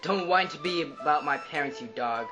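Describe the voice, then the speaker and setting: A man's voice: fairly high pitch, moderate pace, over music, lightly acted. A man playing a vengeful, merciless Greek warrior in a mythological puppet show. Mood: contemptuous.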